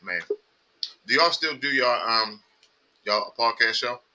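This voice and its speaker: A man's voice: medium pitch, slow pace, bright, forceful tone, rough recording. A man hosting a podcast in casual chat, loose and joking, talking over his guests. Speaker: podcast host.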